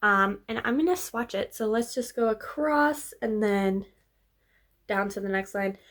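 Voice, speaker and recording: A young woman, slightly hoarse and congested, chatting casually through a makeup tutorial. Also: medium pitch, unhurried, fairly bright tone. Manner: chatty, upbeat, trailing off with fillers. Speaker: beauty vlogger.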